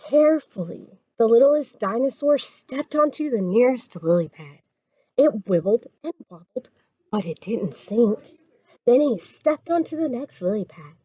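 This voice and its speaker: A woman reading a children's story aloud as a storytime reader, expressive and dramatic. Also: a little high, measured pace, breathy edge, dull audio.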